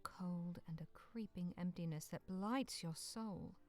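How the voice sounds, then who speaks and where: A woman narrating a bleak, frozen monster-hunting visual novel as a solemn storyteller, with phrases sinking into hush. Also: lowish voice, a little slow, soft background music, lightly acted. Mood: somber, hushed. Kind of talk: scripted second-person dramatic narration. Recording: clear noise behind the voice.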